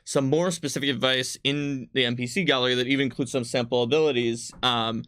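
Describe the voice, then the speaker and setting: A man's voice: mid-range voice, fairly even intonation, a little fast, fairly bright tone, clear, resonant tone, no room sound. A man speaking as a game designer in an unscripted panel chat, explaining things and often jumping in. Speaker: game designer on a company stream.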